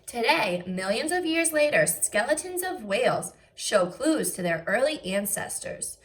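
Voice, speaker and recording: Slow, expressive woman teacher reading aloud. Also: on the high side. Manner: careful enunciation, expressive teacherly phrasing. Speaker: first grade teacher. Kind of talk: read-aloud lesson with asides to young children.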